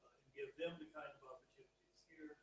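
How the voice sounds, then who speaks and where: A man giving closing remarks to an audience, animated and emphatic. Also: fairly high pitch, brisk, poor audio. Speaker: university representative.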